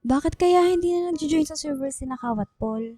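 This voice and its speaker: A young Filipina girlfriend with a high voice. She sounds meek and hurt, questioning in a hesitant, pouty way. It is radio drama with music behind. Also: lively intonation, moderate pace, warm tone. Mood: worried, pleading.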